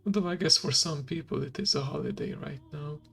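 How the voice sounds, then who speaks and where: A man whose first language is Hungarian, streaming as a VTuber in a soft ASMR style, speaking slowly and quietly and slipping into a whisper. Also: on the high side. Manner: slow, hushed and gravelly, drifting into whisper. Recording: faint background music.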